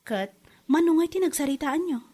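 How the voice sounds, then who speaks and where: A woman's voice: high voice, expressive, normal speed, warm tone. A woman playing an inquisitive, sympathetic wife with an Ilocano accent, chatting in a conversational drama. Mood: curious, sympathetic.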